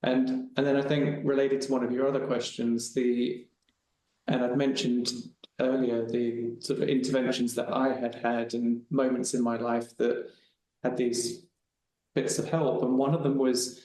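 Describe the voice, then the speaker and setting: A man's voice: medium pitch, flat delivery, unhurried, mellow. A man on a webinar panel telling a personal story in a casual, rambling way with frequent restarts. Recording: very echoey room.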